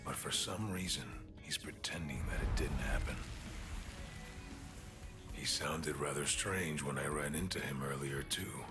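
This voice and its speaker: A big, quiet high schooler from an anime game. His voice is exceptionally low and he muses slowly and gently to himself. Music plays clearly behind him. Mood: pensive. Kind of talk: English anime game dub monologue.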